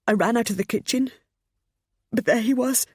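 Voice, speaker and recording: A woman voicing a frightened, tearful middle-aged mother who speaks in a high, breaking voice. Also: moderate pace, darkish tone, slightly rough, no echo, clean studio sound. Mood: distraught.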